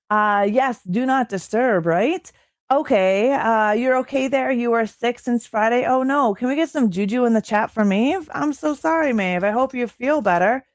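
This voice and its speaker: Young canadian gal streaming, chatty and hyped, voice kinda strained, dead dry sound. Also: female voice, a little high, no room sound. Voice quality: slightly strained voice. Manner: excitable, chatty, many fillers. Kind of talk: casual live-stream chat reading chat.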